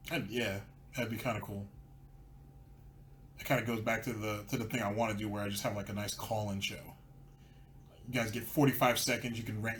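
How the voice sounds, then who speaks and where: A man's voice: on the low side, fairly bright tone, a little hiss or hum. A man hosting a commentary show, ranting sarcastically over clips. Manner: sarcastic, mocking. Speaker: commentary channel host.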